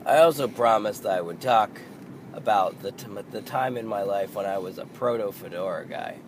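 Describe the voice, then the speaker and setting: A man in his thirties hosting a casual solo podcast, hoarse and losing his voice, rambling and halting, swearing under his breath. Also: lowish voice, noisy background. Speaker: podcast host.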